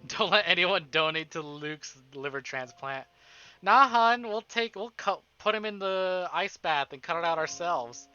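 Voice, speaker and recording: A man live-streaming a visual novel, reading it aloud and breaking into casual, expressive asides with swearing. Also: high-pitched, unhurried, clean tone, dull audio. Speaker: game streamer. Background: game music.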